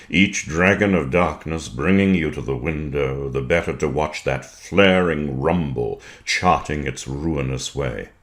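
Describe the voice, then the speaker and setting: A man reading poetry in a measured, dramatic style, with deliberate, precise phrasing and weighted pauses. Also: a bass voice. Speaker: poetry reader.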